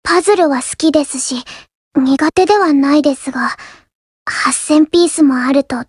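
A woman plays a young coffee-house girl in an anime mobile game, with a high, small voice. She speaks softly and politely, reserved and a little shy but earnest. The sound is dry, with no room around it. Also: normal speed, no room sound, natural voice. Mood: gently pleased. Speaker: game character voice actor. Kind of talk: scripted anime mobile game character lines.

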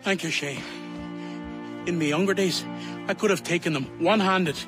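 An actor playing a feisty, suspicious old townsman in a video game, gruff and grumbling in a folksy way. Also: sits high, moderate pace, music in the background, lightly acted. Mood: grumbling, grateful. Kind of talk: video game cutscene acting.

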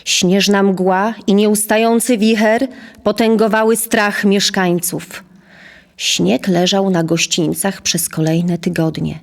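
A woman serves as narrator of an audio drama. Her pitch is middling. She reads scripted prose in a measured, literary storytelling style. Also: fairly even intonation, vocal fry.

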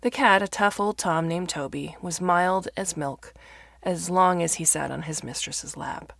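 Very creaky woman story narrator. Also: on the low side, measured pace, fairly bright tone. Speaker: narrator of fiction podcast.

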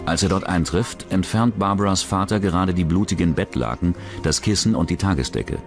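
A man's voice: deep voice, fairly quick. A man reading scripted narration for a true-crime documentary as its narrator. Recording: dramatic music bed under the voice. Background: background music.

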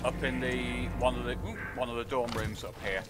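A man's voice: mid-range voice, steady tone, moderate pace, loud music behind the voice. A man streaming a video game, giving casual, wry commentary as if half to himself, with game audio behind him. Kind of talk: casual live gameplay commentary. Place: indoors at a streaming setup.

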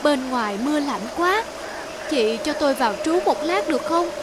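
A woman voicing a pushy, ungrateful female hedgehog in an animated fairy tale. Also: high voice, moderate pace, heavy background noise. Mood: pleading. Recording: music under the voice.

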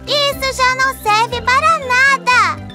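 A woman's voice: very high voice, slow pace, music in the background. A woman voicing a small, sweet young girl truck, childlike and earnest, in a children's cartoon dub.

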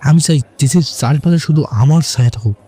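A possessive young schemer, acted by a man, with a husky, dark voice pitched a little high. He is calculating and menacing, a jealous villain in a romantic audio drama. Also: fairly quick.

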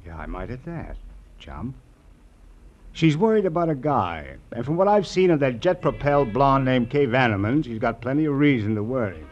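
A man's voice: mid-range voice, sing-song, normal speed. An actor playing a sly, sarcastic rival private investigator, a man needling in slangy 1950s radio drama style. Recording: muffled vintage radio recording.

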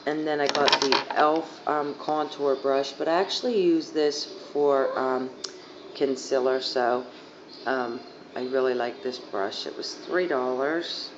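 A young woman with a hoarse, fading voice rambling casually through a makeup haul, full of fillers, filmed on a phone on her living room couch. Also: rumbling low, steady tone, a little slow. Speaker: beauty vlogger. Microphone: phone camera at arm's length. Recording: dull lo-fi phone audio, faint hiss.

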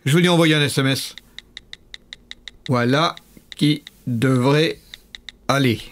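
A man's voice: mid-range voice, slow, slight background noise, natural voice. A man playing an irritable, foul-mouthed, sarcastic American president in a comedic science-fiction radio play, ranting in exasperated everyday slang. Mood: resigned. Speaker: actor in an audio drama.

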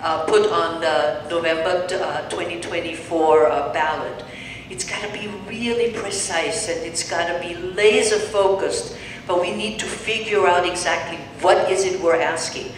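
A woman's voice: on the low side, unhurried. An older woman from Austria with an Austrian accent, earnestly urging a small community meeting to volunteer, speaking into a handheld mic. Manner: earnest, urging, talks with hands. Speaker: meeting organizer. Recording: echoey room, far mic, noise.

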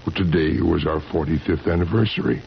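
A very low, creaky-voiced husband of seventy in a vintage radio play. He is worn out and distressed, halting as he quietly insists. The old recording is dull with a steady noise. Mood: weary, resigned. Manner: halting, repeating himself. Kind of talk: 1970s radio drama acting.

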